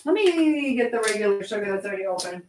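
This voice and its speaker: A woman's voice: mid-range voice, animated, fast talker. Woman, livestream host, informal rambling chat, echoey kitchen, faint background noise. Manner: informal, rambling, reading out and answering comments. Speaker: livestream host chatting with viewers. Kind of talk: casual live chat while baking. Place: home kitchen.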